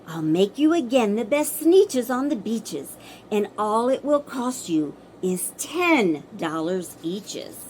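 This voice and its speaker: A woman's voice: mid-range voice, big pitch swings, takes it slowly, darkish tone, a little hiss or hum. A woman voicing a sly, boastful male salesman creature from a children's storybook, with showy, persuasive patter. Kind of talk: theatrical children's storybook character reading.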